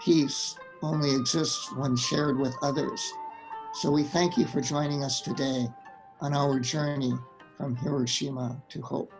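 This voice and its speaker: Measured male narrator over plucked string music. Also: medium pitch, steady tone, poor audio. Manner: measured, earnest, phrase by phrase. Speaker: narrator and event host. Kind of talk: scripted narration, formal closing address. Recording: instrumental music under the voice.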